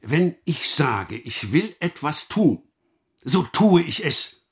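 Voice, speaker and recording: A man's voice: fairly high pitch, very animated, takes it slowly. A man playing a cruel, self-important schoolmaster in a German radio drama, with emphatic, taunting delivery. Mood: boastful, threatening. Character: cruel, menacing middle-aged male schoolmaster, bullying tyrant.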